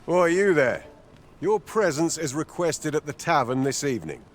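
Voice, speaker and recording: Messenger, male actor: fairly high, animated pitch, brusque and indifferent, fantasy game line over faint ambience. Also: moderate pace, soft background music, natural voice. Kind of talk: scripted fantasy game dialogue.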